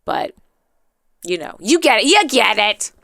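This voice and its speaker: A woman chatting casually as a live streamer, talkative and rambling, with an expressive, playfully dramatic way of talking. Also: medium pitch, measured pace, gravelly, no room sound, studio quality. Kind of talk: casual chatty livestream commentary.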